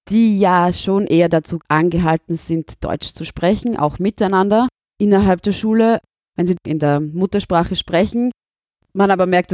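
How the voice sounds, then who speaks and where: Austrian woman in her thirties, teacher, measured and candid, dry close mic. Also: medium pitch, darkish tone, no room sound. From Burgenland, Austria. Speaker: teacher, interviewee. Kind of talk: interview answers.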